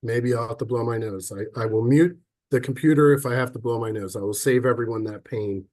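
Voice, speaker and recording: A man with a head cold and a slightly stuffy voice, giving a relaxed, chatty online presentation with frequent ums and restarts over a computer mic. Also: medium pitch, a little fast. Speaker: sales and marketing officer presenting a webinar. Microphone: computer mic on a video call.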